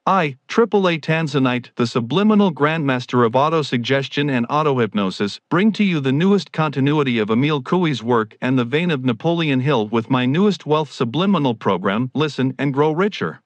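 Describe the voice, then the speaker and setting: A man reads scripted promotional copy in a medium-pitched voice. His delivery is formal and grandiose, like a salesman presenting his own products. The sound is dry, with no room around the voice. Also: animated, moderate pace, few pauses, no echo.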